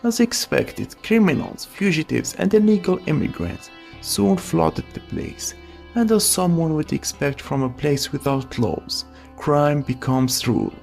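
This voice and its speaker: Brief: opinionated voice-over narrator, animated delivery, some fry, soft music underneath. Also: mid-range voice, a little slow. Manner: opinionated, wry asides. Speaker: video essay narrator. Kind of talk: scripted voice-over commentary.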